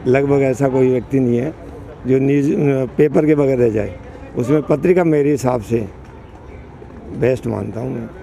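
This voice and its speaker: A man's voice: mid-range voice, normal speed, vocal fry, mellow, low-quality recording. A man in his sixties answering a street interview, talking earnestly and at length. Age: 60s. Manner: earnest, emphatic, rambling. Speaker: interviewee, longtime reader.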